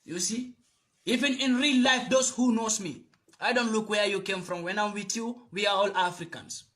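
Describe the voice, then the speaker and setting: Fiery high-voiced man. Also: normal speed, a bit bright. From Gambia. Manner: fiery, preachy, repeats phrases for emphasis. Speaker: video commentator. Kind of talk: impassioned address to camera.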